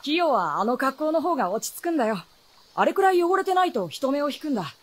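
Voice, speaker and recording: A woman's voice: high-pitched, big pitch swings, fast talker. A woman playing a guarded, knowing adolescent boy in a Japanese fantasy radio drama, speaking in a boyish, matter-of-fact way. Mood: guarded, casual.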